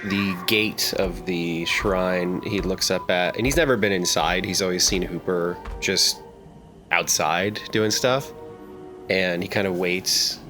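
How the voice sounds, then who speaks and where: Guy at a d&d table, kinda low voice, talks slow, thinks as he goes. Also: pushed and bright, faint music behind. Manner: unhurried, thinking aloud with pauses. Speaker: player. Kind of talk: tabletop roleplay, narrating his character.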